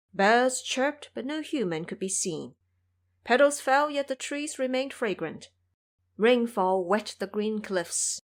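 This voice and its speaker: Woman reading a fantasy audio drama, expressive, vivid. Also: medium pitch. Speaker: narrator. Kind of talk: scripted storytelling narration.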